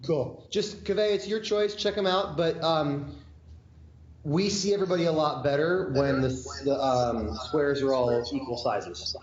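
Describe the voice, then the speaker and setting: A man's voice: on the high side, unhurried, highs cut off. A man giving tech help on a video call, chatty and joking as he explains settings. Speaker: classroom tech support. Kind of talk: casual video call chat. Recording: roomy, noisy video call audio.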